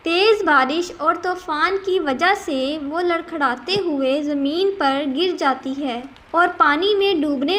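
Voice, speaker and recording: A woman's voice: sits high, fairly bright tone. A woman narrating a scripted children's cartoon story.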